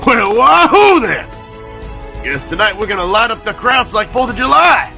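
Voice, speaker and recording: An American man playing an excitable 1940s military scientist in an audio drama, gushing in folksy period slang. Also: very high-pitched, normal speed, gravelly, faint music behind, full character voice. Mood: eager briefing. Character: excitable male scientist aide in 1940s war room.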